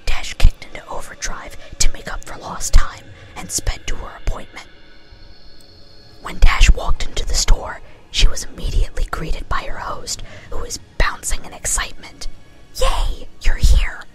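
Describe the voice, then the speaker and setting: Woman whispering a story over music. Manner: casually swears at own slips. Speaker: reader. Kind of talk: whispered ASMR-style story reading.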